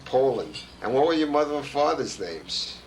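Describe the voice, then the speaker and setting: A man's voice: medium pitch, very animated, normal speed, slight background noise, poor audio. A middle-aged man interviewing his father-in-law at home, teasing him and restarting his questions. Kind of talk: home video family interview. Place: home in a retirement community.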